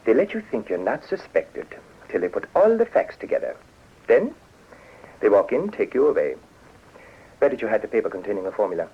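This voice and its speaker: An actor playing a ruthless, jumpy killer in a 1940s radio drama, with urgent melodramatic delivery. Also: male voice, on the low side, sing-song, a little fast, pauses often, slightly rough, full character voice. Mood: wary, jumpy. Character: ruthless, jumpy male murderer and foreign agent henchman. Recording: dull hissy 1940s radio recording.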